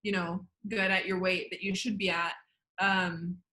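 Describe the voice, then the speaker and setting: A woman's voice: fairly low pitch, little pitch movement, measured pace, pushed and bright. A woman, a podcast guest chatting casually and rambling, with lots of "like". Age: 20s. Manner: rambling, heavy use of "like". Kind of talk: casual chat.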